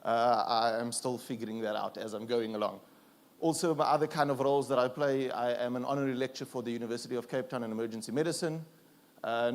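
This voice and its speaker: A South African man whose first language is Afrikaans, giving a relaxed, self-deprecating conference presentation with fillers and restarts. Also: mid-range voice. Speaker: conference speaker.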